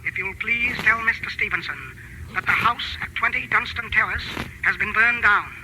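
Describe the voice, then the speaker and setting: An actor playing a meek, precise, courteous chemist in an old-time radio drama. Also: male voice, sits high, fairly even intonation, very noisy, close to an everyday voice. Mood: grave, courteous. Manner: formal, polite, deliberate. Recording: old radio recording with music.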